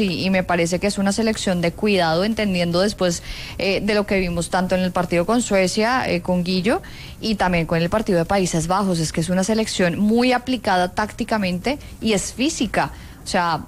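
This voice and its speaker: A woman's voice: mid-range voice, normal speed, slight background noise. A woman with a Colombian accent, a sports journalist giving her account in an unscripted panel discussion.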